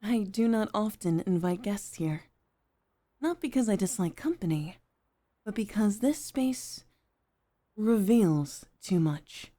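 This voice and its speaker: Woman doing a proper head maid girlfriend for asmr rp, real slow and soft warm tone, polite n measured, gently teasing. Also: mid-range voice, expressive. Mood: calm, confiding. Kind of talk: intimate ASMR roleplay.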